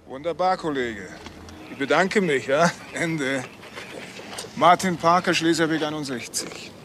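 An actor playing a dry, matter-of-fact police detective in a crime radio play. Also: male voice, a little high, measured pace, natural voice. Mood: businesslike. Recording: clear background noise.

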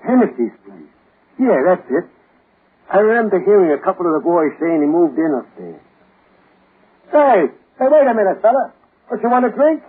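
An actor playing a brusque, uneasy, slangy barkeep in an old-time radio drama. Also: male voice, high-pitched, very animated, normal speed, pauses often, husky, exaggerated voice. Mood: evasive, nervous. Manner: slangy, stammering when nervous. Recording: muffled old radio recording.

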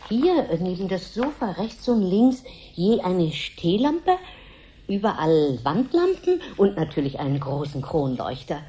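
Bright, demanding society lady. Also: fairly low pitch, big pitch swings, unhurried. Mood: chatty, assured. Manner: persuasive, chatty, self-assured. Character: elegant, demanding lady guest with expensive tastes. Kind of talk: 1970s German radio play.

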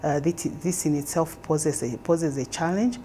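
A woman's voice: deep voice, moderate pace, warm tone, a little hiss or hum. A middle-aged woman from Zambia, a government trade official, explaining things fluently in an interview. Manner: fluent, explanatory, occasional fillers. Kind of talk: on-camera interview answers. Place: conference room indoors.

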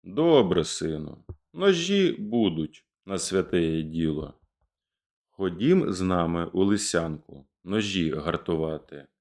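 A man's voice: deep voice, animated, slow, a little mellow, full character voice. A man voicing a fierce, commanding male Cossack rebel leader in a declaimed verse reading. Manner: rousing, declamatory.

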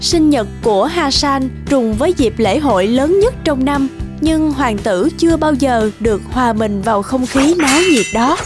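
Female storyteller: scripted fairy-tale narration, high register, clear music bed. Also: animated, moderate pace. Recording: music behind.

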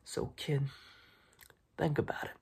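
A young man playing a smug, menacing mob boss in an ASMR roleplay, speaking in halting phrases with a breathy, raspy voice that often drops to a whisper. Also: natural voice. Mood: persuasive. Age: young adult. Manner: slow, halting, repeats words, quietly intimidating. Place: dim room at home, indoors.

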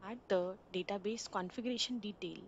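A woman of middle pitch talks through a software installation one step at a time, in a plain instructional voice. A faint hiss sits under her voice-over. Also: fairly quick, dull audio. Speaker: software tutorial narrator. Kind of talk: screen-recorded installation walkthrough.

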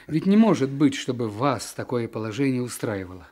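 An actor playing an earnest, inquisitive official in a radio drama, speaking in a measured, educated way. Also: male voice, medium pitch, big pitch swings, warm tone. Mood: probing, earnest. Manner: measured, educated, probing questions. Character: earnest, inquisitive male official, new district chief. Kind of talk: Soviet radio drama acting.

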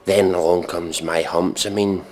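A man giving a sarcastic dramatic reading with commentary, deadpan, mock-earnest and sweary. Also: sits low, unhurried.

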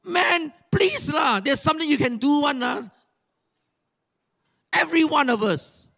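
An older man with a Malaysian English accent preaching a sermon on a church stage into a handheld mic, animated and exhorting, with rhetorical questions and sudden shouts. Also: extremely high pitch, unhurried, gravelly. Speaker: pastor.

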